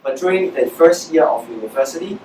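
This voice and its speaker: A man's voice: on the high side, expressive, a little fast, a little mellow. A young man with a Hong Kong accent giving an explanatory presentation to students as a social entrepreneur. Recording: echoey room, distant mic, background noise.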